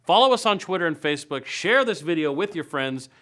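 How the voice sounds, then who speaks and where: A man's voice: fairly high pitch, lively intonation, a little fast, pushed and bright. A man hosting a web show in a scripted, playful comedic style, leaning on words for emphasis. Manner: playful, punchy delivery with emphatic stretched words. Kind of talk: upbeat scripted comedic news and events presenting.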